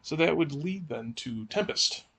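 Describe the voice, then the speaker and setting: A man's voice: a little high, expressive, a little slow, vocal fry, fairly bright tone, husky. A man co-hosting a podcast in casual discussion, hesitant and searching for his words. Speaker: podcast co-host.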